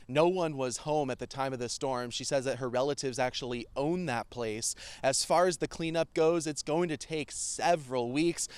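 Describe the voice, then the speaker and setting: An American man narrates a scripted news package. His voice is resonant and very clear, at a middling pitch. The sound is dry, with no room around it. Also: dry recording. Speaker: TV meteorologist and field reporter.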